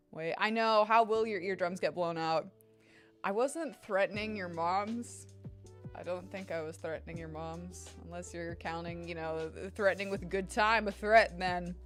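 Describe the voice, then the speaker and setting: A young woman streamer chatting casually with her viewers, her voice strained and tired, rambling and swearing freely. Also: mid-range voice. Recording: faint music behind voice. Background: faint music.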